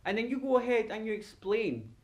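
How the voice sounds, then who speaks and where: Man acting a high-voiced, campy, sardonic monologist. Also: big pitch swings, a little fast, dull audio. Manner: dramatic, sardonic, campy, given to mimicking dialogue. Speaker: actor performing a monologue. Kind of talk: theatrical solo stage monologue.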